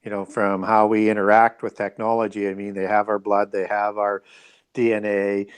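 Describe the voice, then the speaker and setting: A man's voice: on the low side, completely flat delivery, normal speed, smooth. A man speaking as an interview guest, explaining his views in a conversational, musing way.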